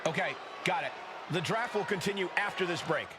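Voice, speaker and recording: A man's voice: sits high, brisk. A man playing a polished lead announcer in a video game's wrestling broadcast, over arena noise. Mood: announcing. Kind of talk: sports broadcast commentary. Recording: loud arena noise behind.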